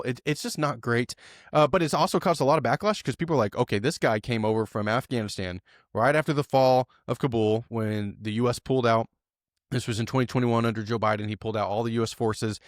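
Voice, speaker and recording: A man's voice: mid-range voice, brisk, dry recording, studio quality. Chatty man with many fillers. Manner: conversational, many fillers and tag questions. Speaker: podcast co-host. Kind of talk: casual news discussion.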